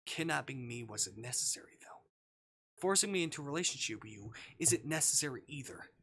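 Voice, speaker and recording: A man voices a defiant captive in a visual novel, protesting with open disbelief. The voice is forceful and sharp at a middling pitch. There is faint noise in the background. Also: animated, a little fast. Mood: indignant. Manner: incredulous, protesting. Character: indignant, defiant captive arguing with their kidnapper. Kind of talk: visual novel character reading.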